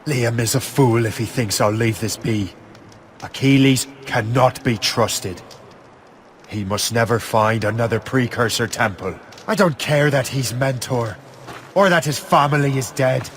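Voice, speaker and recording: A man's voice: mid-range voice, unhurried, a little hiss or hum, natural voice. A man playing a hot-blooded, brooding adventurer assassin in a dramatic video game cutscene. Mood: grim resolve. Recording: orchestral music behind the voice.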